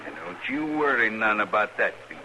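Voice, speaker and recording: An actor playing a cold, taunting outlaw gang leader with a folksy drawl in an old-time radio Western. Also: male voice, lowish voice, animated, normal speed, muffled recording. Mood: mocking menace. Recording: noisy old radio drama recording.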